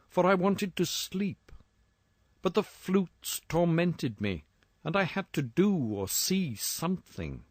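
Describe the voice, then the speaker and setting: Male narrator for gothic horror prose: measured pace, formal theatrical delivery, dry close sound. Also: medium pitch, no room sound. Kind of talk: dramatic literary horror story narration.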